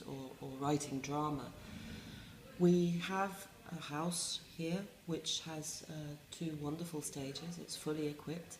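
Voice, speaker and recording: English lady, middle-aged, low voice, dry self-mocking chat, echoey room w faint hum. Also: a little mellow. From England. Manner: wry, self-mocking, with many ums and restarts. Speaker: resident playwright. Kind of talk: reflective interview talk.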